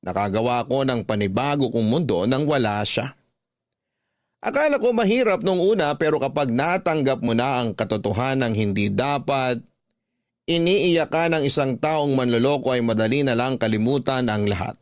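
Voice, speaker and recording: A man narrating a scripted first-person story for a radio drama, measured and dramatic, drawing out the ends of phrases. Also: medium pitch, animated, dry recording, studio quality. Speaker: radio drama host and narrator reading a letter.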